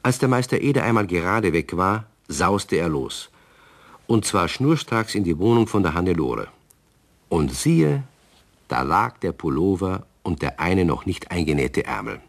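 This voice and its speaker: A man narrating a children's radio story calmly, speaking to young listeners. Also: sits low, measured pace, gravelly.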